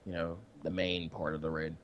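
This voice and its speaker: A man's voice: sits low, level tone, fairly quick, lo-fi sound. A man co-hosting a casual gaming podcast, giving laid-back, brief conversational replies. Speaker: podcast co-host.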